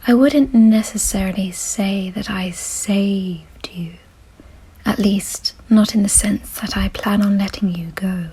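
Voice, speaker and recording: Woman acting a slow, whispering, gentle vampire mistress. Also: medium pitch, steady tone, exaggerated voice, low-quality recording. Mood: coyly possessive. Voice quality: breathy, husky whisper. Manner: soothing, slow, genteel, softly possessive. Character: gentle, refined, doting vampire mistress of a mansion. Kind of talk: whispered ASMR roleplay.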